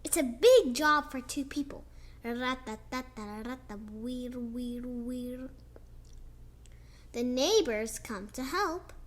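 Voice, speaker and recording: A young girl reading a children's picture book aloud, slowly and expressively, with chatty asides about the pictures. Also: high voice, soft background music. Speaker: child storyteller.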